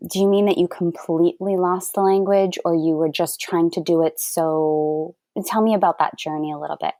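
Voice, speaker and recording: A woman with a lowish voice hosts a podcast, asking curious, open questions and laughing easily. The recording is close and dry. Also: darkish tone, dry recording. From Canada. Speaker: podcast host. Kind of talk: conversational interview.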